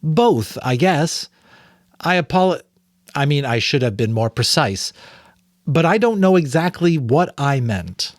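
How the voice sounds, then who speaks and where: A man's voice: a little high, very animated, a little slow, dry recording, clean studio sound, pitched above the performer's own voice. A man voicing an earnest, curious young boy apprentice in an audiobook novel, polite and tentative. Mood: hesitant.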